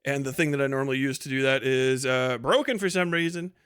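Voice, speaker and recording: A man's voice: medium pitch, brisk, fairly bright tone, clear, resonant tone, no echo, studio quality. An American man hosting a podcast livestream in a casual, irreverent, rambling way, swearing freely as he goes. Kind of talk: casual profane livestream monologue.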